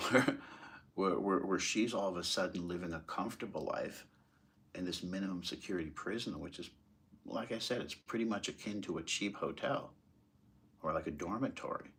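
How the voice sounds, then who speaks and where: An American man with a very low voice delivers impassioned commentary. His tone is husky and grainy. He talks in halting, emphatic phrases, as if working the argument out while he speaks. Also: a bit bright. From United States, moved there as a small child. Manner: halting, emphatic, rhetorical questions. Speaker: political commentator.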